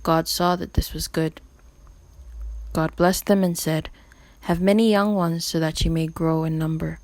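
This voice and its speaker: A woman's voice: low voice, fairly even intonation, unhurried, darkish tone, no echo, low-quality recording. A woman reading scripture as scripted narration. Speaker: volunteer scripture reader.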